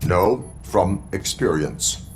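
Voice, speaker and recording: Deep-voiced stoic cyborg cop. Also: male voice, faint music behind, heavily acted. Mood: deadpan. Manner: formal, deadpan, measured instructing. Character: stoic male cyborg police officer mentoring a rookie. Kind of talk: video game voice acting.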